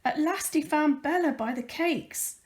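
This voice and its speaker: A woman reading a children's picture book aloud as a volunteer storyteller, with careful, expressive emphasis on the words. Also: high-pitched, unhurried.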